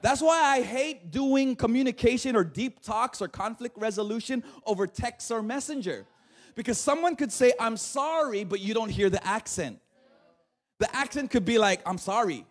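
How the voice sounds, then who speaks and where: A man's voice: extremely high pitch, expressive, moderate pace. A man, preaching a livestreamed sermon in a conversational way, often asking "right?" and laughing easily. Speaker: pastor.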